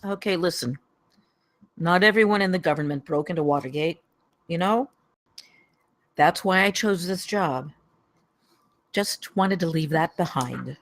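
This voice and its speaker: A woman's voice: on the low side, a little slow, pauses often. A woman at a script table read, playing an earnest, somewhat weary and defensive former government man.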